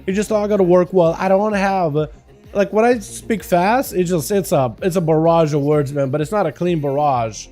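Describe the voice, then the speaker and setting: A man with a slight Russian accent, streaming and calling game duels with excited play-by-play, then chatting loosely with his viewers. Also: sits high, faint music behind. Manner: fast, excitable play-by-play that turns to loose rambling chat. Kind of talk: excited live game commentary mixed with casual chat.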